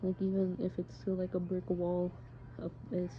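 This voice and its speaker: A young adult woman talking haltingly and informally, as if into a personal audio diary. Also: on the low side, monotone. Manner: hesitant, halting, informal with swearing. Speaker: audio diarist. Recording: muffled home recording, clear background noise. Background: background noise, friends' voices.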